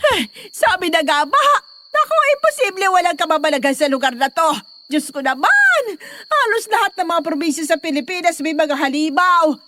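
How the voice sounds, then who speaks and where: A woman acts a loyal but bossy nanny in an audio horror drama. She is high-pitched and very animated, urgent and full of superstitious exclamations. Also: moderate pace, full character voice. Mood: alarmed.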